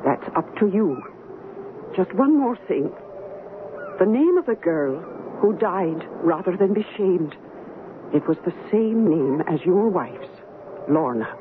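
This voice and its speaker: Grave villager on noisy old radio. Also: female voice, medium pitch, animated, takes it slowly, long pauses, narrow, tinny audio. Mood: ominous. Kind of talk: radio drama acting.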